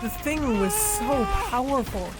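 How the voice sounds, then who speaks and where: Brief: female narrator, slow and dramatic audio drama reading, middle pitch, score playing underneath. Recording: narration over music score.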